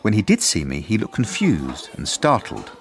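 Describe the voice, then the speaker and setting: A man's voice: fairly low pitch, huge pitch swings, normal speed, noisy background. A man playing a prim, officious headmaster narrating his formal report in a radio drama. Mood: prim, dry.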